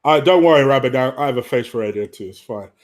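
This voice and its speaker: A man's voice: mid-range voice, expressive, quick pace. A man hosting a football fan livestream, chatting informally and matter-of-factly to his viewers in a rambling, sweary way. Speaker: host of a football fan show.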